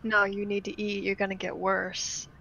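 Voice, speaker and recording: Female roleplay player, bright and forceful tone, firm casual phrasing, mid pitch. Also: completely flat delivery, moderate pace, gravelly, muffled recording, a little hiss or hum. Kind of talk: casual in-character tabletop roleplay.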